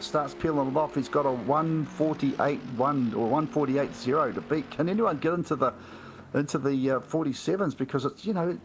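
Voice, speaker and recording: Gravelly male motor racing commentator. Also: medium pitch, a little hiss or hum, low-quality recording. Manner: conversational, rhetorical questions.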